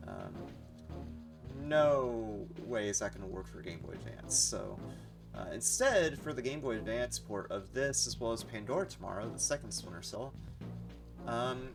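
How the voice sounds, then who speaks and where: A man giving casual, rambling livestream commentary as he explains the video games he plays. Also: fairly low pitch. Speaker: video game streamer. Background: game music.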